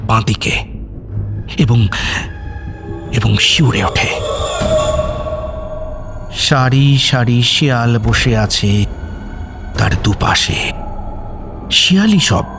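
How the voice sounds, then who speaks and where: A man narrates a horror suspense audio story in a measured, dramatic way with deliberate pauses. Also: mid-range voice. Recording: music under the voice. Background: background music.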